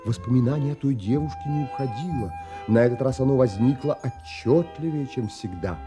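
An actor playing a pensive, dreamy, unsettled gentleman who narrates an audio play in measured, dramatic phrases. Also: mid-range voice, soft background music, natural voice. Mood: pensive, wistful.

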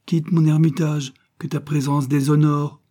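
A man plays a stern older saint who speaks slowly and solemnly, rising loud in rebuke. The storybook voice is dark and husky. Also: a little high, level tone, voice pushed up. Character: stern old saint hermit.

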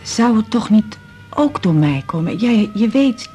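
A woman's voice: mid-range voice, expressive, measured pace, music in the background, natural voice. An actress playing an anxious, proud middle-aged widowed mother with a Netherlands Dutch accent in a radio drama, speaking hesitantly and plaintively. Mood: anxious, grieving. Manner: hesitant, repeats words, plaintive. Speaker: supporting actress in radio serial. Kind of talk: 1980s radio drama dialogue.

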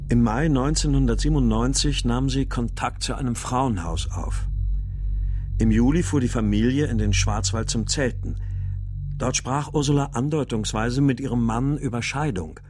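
An actor playing an exhausted, despairing father, narrating a radio crime drama in a brooding, measured way. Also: medium pitch, darkish tone, slight background noise, lightly acted. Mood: stunned, sober. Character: despairing, exhausted father searching for his abducted daughter.

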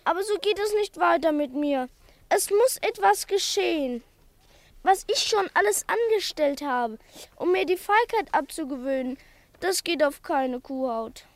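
A woman's voice: very high voice, lively intonation, unhurried, dry recording, full character voice. A woman playing a timid small schoolboy who is ashamed of his cowardice, in 1950s radio play acting with a plaintive, self-doubting manner. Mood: rueful. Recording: dry studio recording.

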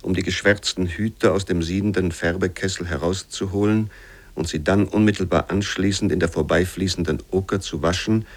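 Male narrator for literary radio drama, lower register, measured and formal delivery. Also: steady tone. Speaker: narrator reading literary prose aloud.

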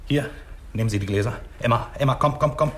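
An actor playing an edgy, irritable doctor in a 1960s radio crime drama. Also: male voice, medium pitch, quick pace, a bit bright, lightly acted. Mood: alarmed, urgent. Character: fussy, nervous, ailing doctor without a practice. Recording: faint room noise, old radio recording.

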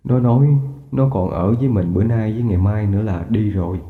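A man's voice: lowish voice, normal speed, voice pushed down, close to an everyday voice. A man voicing a grieving old village father, who speaks in a weary, subdued, sighing way. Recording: echoey, tinny, faint music behind.

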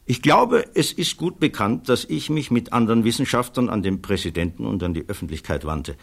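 An actor playing a thoughtful physicist giving measured, earnest testimony in a German radio play. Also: male voice, lowish voice, lively intonation, gravelly. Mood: grave, troubled. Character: thoughtful male physicist witness, earnest, troubled by conscience.

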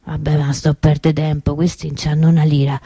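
An actress playing a chatty, cynical working-class souvenir hawker with a Roman accent in a radio monologue. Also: female voice, low-pitched, level tone, brisk, warm tone, husky, dry recording, studio quality. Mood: wistful chatter. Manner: rambling street storyteller, wry, colloquial. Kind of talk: colloquial theatrical monologue for radio.

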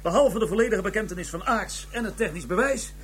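High-voiced police squad chief. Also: male voice, lively intonation, fast talker, a little hiss or hum. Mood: good-natured, formal. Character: police squad chief addressing his team, modest, collegial. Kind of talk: radio drama acting.

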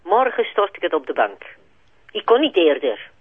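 A woman's voice: on the low side, big pitch swings, moderate pace, breathy edge, no room sound. An actress with a Flemish accent playing an anxious, urgent middle-aged mother on the telephone in a radio drama. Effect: telephone filter. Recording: thin, narrow telephone-like sound.

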